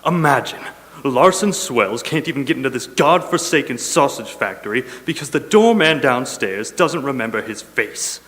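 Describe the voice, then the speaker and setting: A man's voice: medium pitch, animated, moderate pace, fairly bright tone, lightly acted. A man playing a vain, irascible radio star and director in a stage comedy, pompous, blustering and theatrical. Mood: indignant. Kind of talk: stage comedy about old-time radio. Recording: echoey hall with long reverb.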